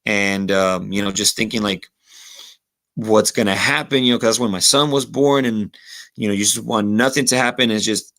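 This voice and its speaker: A man's voice: lowish voice, steady tone, normal speed, a bit bright. A man in his thirties with a Rio Grande Valley accent, talking reflectively as a podcast guest with lots of fillers and Spanish phrases mixed in. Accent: Texas Rio Grande Valley. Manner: reflective, many "you know" fillers, slips into Spanish phrases. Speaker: podcast guest, former college football kicker. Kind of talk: casual podcast conversation.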